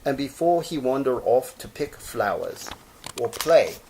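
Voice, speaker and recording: A man narrating a children's story expressively, with theatrical phrasing and pauses. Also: mid-range voice, very animated, dark tone, slight background noise, poor audio.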